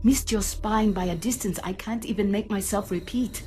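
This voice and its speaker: A woman's voice: mid-range voice, normal speed, warm tone, slight background noise, close to an everyday voice. A woman playing a ship's artificial intelligence in a sci-fi drama, speaking in a precise, dry, clinical way. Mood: grave, clinical. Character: coolly precise, dryly witty female ship's artificial intelligence. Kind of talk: scripted sci-fi audio drama acting. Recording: music under the voice. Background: background music.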